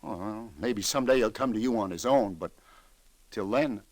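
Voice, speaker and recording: Man acting low-voiced, earnest old-radio police lieutenant. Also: dry recording, close to an everyday voice. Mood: reluctant, regretful. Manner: halting, earnest, searching for words. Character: sympathetic, plain-spoken New York police lieutenant, gently evasive. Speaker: radio actor playing a detective. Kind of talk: 1940s-50s radio drama acting.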